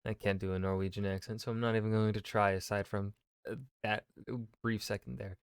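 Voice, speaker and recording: A man's voice: fairly low pitch, brisk, smooth, dry recording, clean studio sound. A man hosting a reaction video, talking casually and offhand. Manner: relaxed, offhand. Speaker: history reaction channel host.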